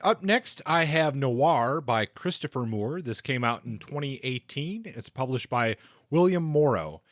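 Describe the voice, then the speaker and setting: A man's voice: mid-range voice, lively intonation, measured pace, no room sound, clean studio sound. A man co-hosting a podcast and delivering a book review. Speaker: podcast co-host.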